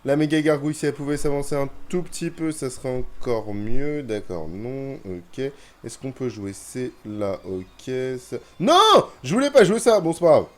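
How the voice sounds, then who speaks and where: A young man with a head cold gives casual commentary on his own gameplay, chatty and quick to grumble and swear when frustrated. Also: mid-range voice, very animated. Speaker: gaming youtuber.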